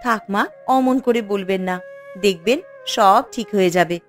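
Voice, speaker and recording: A woman's voice: mid-range voice, normal speed, soft background music, close to an everyday voice. A woman voicing a dutiful young daughter-in-law in a cartoon, respectful and soothing. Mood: gentle, respectful. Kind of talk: scripted cartoon dialogue.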